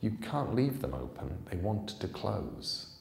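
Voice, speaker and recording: A man's voice: lowish voice, sing-song, unhurried, creaky, slight background noise, full character voice. A self-possessed boy hero from a storybook, read by a grown man, speaks coolly and matter-of-factly, defiant under threat. The sound rings with the echo of a hall. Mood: cool, warning. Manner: matter-of-fact, cool. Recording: echoey hall, public reading.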